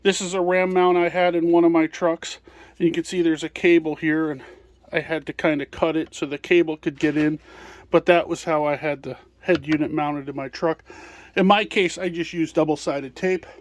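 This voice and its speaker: Casting: male product presenter, notably high-pitched, informal hands-on walkthrough delivery. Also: level tone, moderate pace. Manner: hands-on explaining while handling items.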